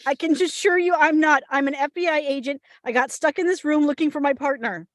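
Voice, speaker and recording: Actress doing scared lady fbi agent, really high voice, stammery and defensive, dry sound, drama table read. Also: brisk, no room sound, natural voice. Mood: tense, explaining. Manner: nervous, stammering, insistent. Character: frightened, defensive woman FBI agent, trying to stay official.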